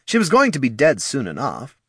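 A man's voice: medium pitch, wildly swooping pitch, fairly quick, sharp tone, slightly rough, dry recording, clean studio sound, voice pushed up, full character voice. A man voicing a brash, callous undead skull who talks like a pushy marketing boss in a fantasy audiobook. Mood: dismissive. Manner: glib, pushy salesman patter.

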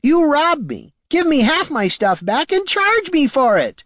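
Storybook old male farmer, shrill and wavering, rustic and aggrieved. Dry, close read. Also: huge pitch swings, measured pace, a bit bright, no room sound, full character voice. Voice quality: high strained rustic voice. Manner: pleading, indignant outbursts. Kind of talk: audiobook character voice.

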